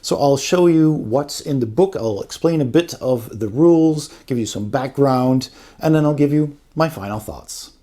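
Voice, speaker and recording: A man's voice: medium pitch, normal speed, dark tone. A man giving a casual, conversational walkthrough as a game reviewer.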